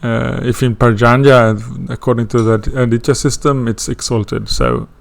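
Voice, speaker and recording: A man's voice: medium pitch, lively intonation, unhurried, vocal fry. A man from Sweden with a Swedish accent, introducing an astrology course in a hesitant way, with many fillers and restarts. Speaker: astrology teacher.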